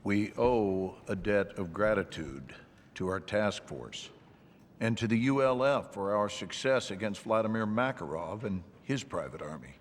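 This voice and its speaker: A gruff, defiant army general. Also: male voice, lowish voice, animated, a little slow, slight background noise. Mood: solemn. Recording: game cutscene audio with loud music.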